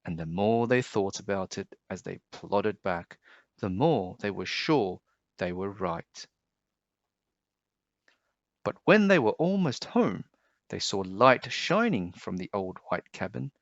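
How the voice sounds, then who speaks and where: Male storyteller, children's read-aloud, dramatic, slow pace, swooping intonation, slightly muffled recording. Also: on the low side, big pitch swings, gravelly, no room sound.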